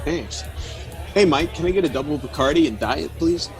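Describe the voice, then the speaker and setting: A man's voice: a little high, normal speed, faint music behind, a faint crowd, natural voice. A man playing a probing, mocking inner voice that poses as a friend, casually needling in a naturalistic audio drama. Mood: casual, sly.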